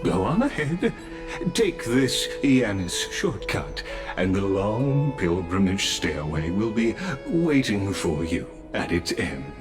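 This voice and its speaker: An actor voicing a courteous, knowing male sage with a measured, formal manner in a video game. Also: low-pitched, sing-song, music in the background, exaggerated voice. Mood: calm, knowing.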